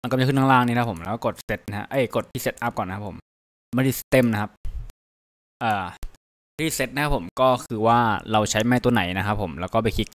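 A man giving a casual step-by-step software tutorial into a computer microphone, ending nearly every phrase with polite particles. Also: medium pitch, a little fast. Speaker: tutorial host. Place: indoors at a computer, voice over screen capture.

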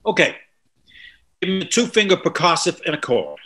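A man's voice: sits high, wildly swooping pitch, moderate pace, pushed and bright, slightly rough, heavily acted. A man in his fifties playing an arrogant, intimidating music instructor in a script table read, with a condescending, goading manner. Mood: challenging. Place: bright room at home, on video call. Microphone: computer mic on video call.

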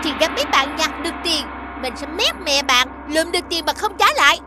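A woman's voice: high-pitched, lively intonation, fast, very noisy. A woman voicing a young mouse child in a cartoon, crafty, smug and childlike. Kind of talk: cartoon dubbing.

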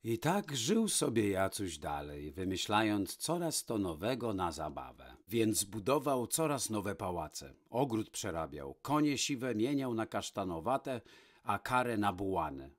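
Male storyteller, lowish pitch, scripted fairy-tale narration. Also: big pitch swings, measured pace.

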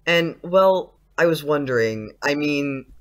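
An actor playing an awkward, earnest teenage student in an audio play. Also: male voice, fairly high pitch, animated, takes it slowly, a bit bright. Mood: awkward, hesitant.

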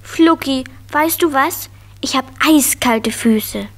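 A woman's voice: high-pitched, slow. A woman playing an eager, kind-hearted little girl in a children's radio play, with childlike exclamations. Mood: worried.

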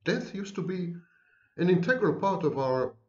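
A man's voice: high-pitched, very animated, moderate pace, darkish tone. Middle-aged man, ironic lecture tone, roomy dull webcam sound. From Israel. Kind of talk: monologue to camera. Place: home room.

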